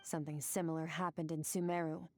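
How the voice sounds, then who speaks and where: Icy female game warrior: lowish register, detached, formal, matter-of-fact delivery. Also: level tone, fast. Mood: cold, detached. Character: aloof, icy, powerful woman warrior. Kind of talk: video game character acting.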